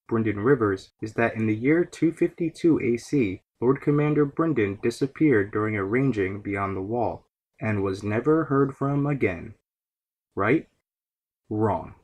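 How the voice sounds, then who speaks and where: A man delivers scripted narration as the host of a lore series. His voice is mid-pitched and slightly husky. He explains things in a measured, orderly way. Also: lively intonation, darkish tone, rough recording.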